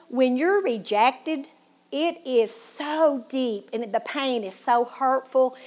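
A woman with a Tennessee accent hosting her own show, giving an earnest personal testimony and dropping to a hush at painful moments. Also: high voice. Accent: Southern US, Tennessee. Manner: earnest, heartfelt, drops to a hushed tone at painful moments. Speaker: show host.

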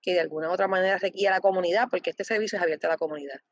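A woman representing a foundation, answering interview questions with many pauses, fillers and restarts. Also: on the low side, dry recording. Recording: dull lo-fi dry sound.

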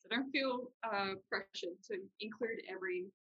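A woman's voice: medium pitch, normal speed, a bit bright, husky, dull audio. A young adult woman, explaining things informally and reassuringly as a guest in a casual chat. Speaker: guest, university student.

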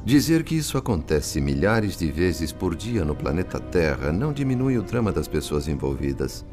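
An actor with a Brazilian accent playing a compassionate, respectful male spirit and worried father, in gentle, formal radio-drama style. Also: deep voice, sing-song, moderate pace, over music. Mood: compassionate, probing. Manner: gentle, formal, earnest, considerate.

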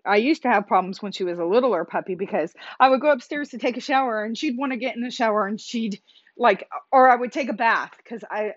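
A woman hosting her own podcast, talking casually in a loud, emphatic voice with many restarts. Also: medium pitch, muffled recording. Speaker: podcast host. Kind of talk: casual solo podcast monologue.

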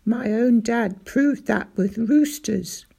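A woman voicing a tired, injured father who speaks calmly with dry understatement, in a storybook reading. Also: on the high side, takes it slowly, vocal fry, breathy edge, heavily acted. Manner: calm, dryly understated.

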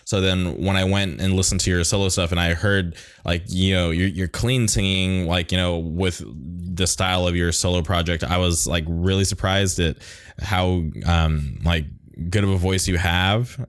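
A man interviewer asking questions in a halting way, full of ums and likes. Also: deep voice, fairly even intonation, no room sound, clean studio sound.

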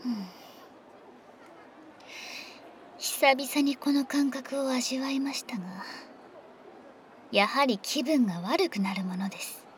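A woman playing a whispery, high-voiced dragon maid. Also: a little slow, slight background noise. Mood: jealous. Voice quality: breathy whisper. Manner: formal servant's speech, often soft and whispery. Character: possessive polite female dragon maid with wings and horns. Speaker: voice actress. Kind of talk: ASMR roleplay audio drama.

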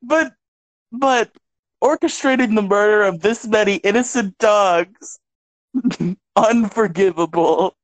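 A man's voice: sits high, slow, long pauses, rough recording. A young man co-hosting a movie review podcast from his bedroom, laughing often and protesting in mock outrage. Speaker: podcast co-host. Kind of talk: casual podcast banter. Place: home bedroom.

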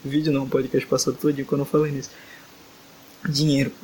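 A man's voice: medium pitch, level tone, quick pace, darkish tone, slight background noise, poor audio. A young man hosting a casual podcast, reading a fanfic aloud and joking, tired and exasperated late at night. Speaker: podcast host.

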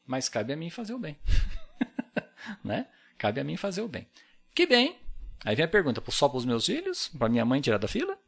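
A man's voice: a little high, huge pitch swings, normal speed, creaky, long pauses, a bit bright, husky. Brazilian guy on a podcast, earnest and thoughtful, voice jumps around a lot. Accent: Brazilian Portuguese. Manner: earnest, reflective, many tag questions. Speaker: podcast guest. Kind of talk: casual podcast conversation.

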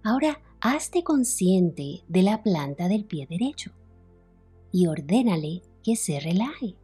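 A woman leading a guided relaxation as a meditation instructor, soothing and unhurried, with long pauses between phrases. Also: lowish voice, sing-song, mellow, breathy edge, soft background music. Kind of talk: guided relaxation, yoga nidra narration.

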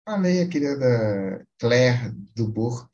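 A man in relaxed podcast chat, hosting a quiz segment. He talks slowly and leisurely, in a middling voice, joking and stalling playfully while he reads cast names piece by piece. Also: mid-range voice, highs cut off. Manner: playful, teasing, reads film credits haltingly. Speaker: quiz host on a film podcast.

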